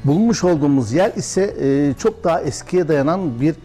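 Man, 50s, from Bursa; owner giving a measured interview in his dining room, mellow timbre, soft music behind. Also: a little high, animated. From Bursa, Turkey. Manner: measured, with frequent hesitation fillers. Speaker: hotel and restaurant owner. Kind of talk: interview answers. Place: historic restaurant dining room, indoors.

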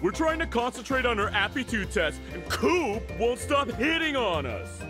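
Teen bully: very high, animated, belligerent, cartoon comedy. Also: male voice, normal speed, music in the background. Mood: whining. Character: aggressive teenage boy bully sidekick. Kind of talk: animated comedy game acting.

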